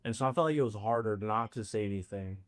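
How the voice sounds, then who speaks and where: A man's voice: on the low side, fast. Man sharing from the audience. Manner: tentative, thinking aloud. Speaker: workshop participant. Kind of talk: sharing in a group discussion.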